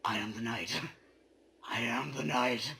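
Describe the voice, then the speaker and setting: A man giving a comedic dramatic reading in an over-the-top theatrical manner, his voice a little hoarse. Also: medium pitch, measured pace, pushed and bright. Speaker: reader.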